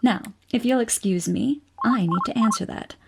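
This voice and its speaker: Sly woman scientist with creaky voice. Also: a little high, moderate pace. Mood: breezy, sardonic. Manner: breezy, sardonic teasing. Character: teasing, self-assured woman scientist, sly and flirtatious. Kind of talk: audio drama acting. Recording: music under the voice.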